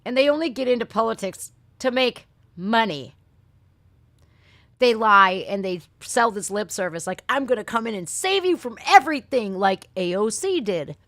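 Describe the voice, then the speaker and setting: A woman's voice: fairly high pitch, expressive, normal speed, fairly bright tone, dry recording, clean studio sound. An American woman hosting a livestream reaction, chatting and ranting casually in blunt, sweary, folksy slang. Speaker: reaction video host. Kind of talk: casual livestream rant and chat.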